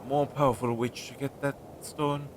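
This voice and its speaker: A man's voice: little pitch movement, normal speed, close to an everyday voice. A man playing an anxious, insecure but tender crow familiar in an audio roleplay, talking to the listener in a hesitant, earnest way. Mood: worried. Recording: clearly noisy low-quality recording.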